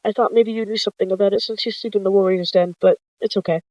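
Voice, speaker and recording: A tired she-cat who leads a clan, voiced by a storyteller, hesitant and unsure. The voice is mid-pitched and dry, in a roleplay tale. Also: fairly even intonation, fast talker, a little mellow, no echo, natural voice, low-quality recording.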